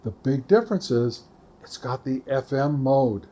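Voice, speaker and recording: A man giving a casual, unscripted product review as a hobbyist, speaking haltingly as he thinks aloud. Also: mid-range voice, expressive, dark tone. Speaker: YouTube radio hobbyist reviewer.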